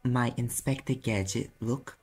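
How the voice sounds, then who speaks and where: A man voicing a sarcastic demon private eye in an audio roleplay, with a New York accent and a gravelly, husky voice. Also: medium pitch, unhurried, very noisy, lightly acted. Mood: sarcastic banter. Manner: sardonic, casual, swearing, defensive. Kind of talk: audio roleplay acting, conversational.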